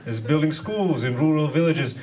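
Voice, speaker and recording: A man from Sri Lanka doing a dry, deadpan stand-up set from a chair on a nightclub stage, with many hesitant uhs. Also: fairly high pitch, normal speed, far from the mic. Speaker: stand-up comedian. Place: nightclub stage, seated, before a laughing audience. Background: audience laughter.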